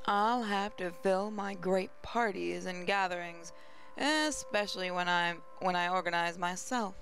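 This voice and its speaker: A woman's voice: fairly low pitch, a little slow, fairly bright tone. A woman reading a visual novel aloud as a first-person narrator, with dramatic storybook delivery. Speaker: gaming channel host. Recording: music playing behind voice. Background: game music.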